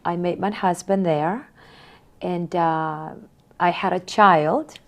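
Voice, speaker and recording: Interview guest, woman in her forties from Honduras, lowish voice, reflective and soft-spoken. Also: fairly even intonation, slow. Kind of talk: interview answers.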